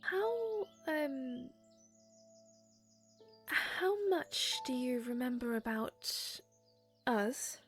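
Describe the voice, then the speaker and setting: A woman playing a gentle, caring young princess in an audio drama, speaking softly and hesitantly over music. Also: high-pitched, big pitch swings, full character voice. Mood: nervous, hesitant. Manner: soft, hesitant, halting.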